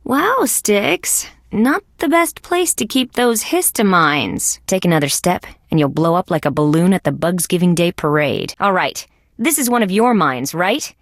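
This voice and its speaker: A woman's voice: fairly low pitch, lively intonation, measured pace, no room sound. Wry, hard-boiled insect lady detective, dry recording. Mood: sardonic. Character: wisecracking, tough female insect police detective. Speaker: video game voice actress. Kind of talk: scripted game dialogue, detective banter.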